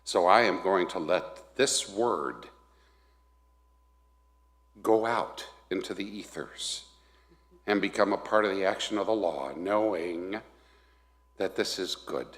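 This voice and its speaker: A man with a big, very deep voice leads a prayer at an unhurried pace. His words carry through a large hall with a long, echoing reverb. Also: a bit bright. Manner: says he has a big voice. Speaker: leading an opening prayer. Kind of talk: slow guided prayer. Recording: echoey hall.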